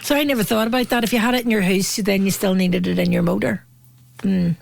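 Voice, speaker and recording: Gravelly Northern Irish woman chatting casually. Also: medium pitch, fairly even intonation, fast. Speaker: co-host. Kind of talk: casual chat.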